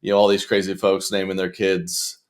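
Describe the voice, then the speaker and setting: A man's voice: sits low, monotone, fairly quick. A man, a young adult, chatting casually as an interview guest in a rambling, stop-start, joking way. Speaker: comedian and children's author, interview guest.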